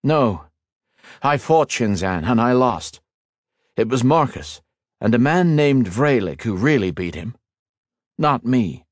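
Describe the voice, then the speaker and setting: A man's voice: mid-range voice, sing-song, takes it slowly, pauses often, no room sound, studio quality, pitched above the performer's own voice. A man voicing Ethan, an earnest, modest young male hero in a fantasy audiobook.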